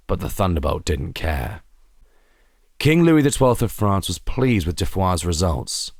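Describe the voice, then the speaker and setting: A man reading a scripted historical story as a narrator, in a measured, deliberate, theatrical way. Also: low-pitched, creaky, no echo, clean studio sound. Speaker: audio drama narrator.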